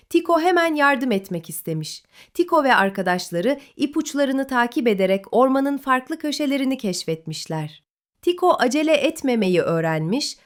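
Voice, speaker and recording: Woman storyteller, higher voice, sing-song bedtime reading.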